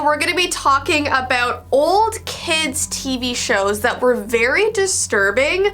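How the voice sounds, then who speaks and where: A young woman with a high, bright-sounding voice talks to her viewers in a chatty, animated vlog style. Her nose is stuffed up from a cold, giving her words a congested edge. Soft background music plays faintly beneath her. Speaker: YouTuber. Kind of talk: casual vlog storytelling.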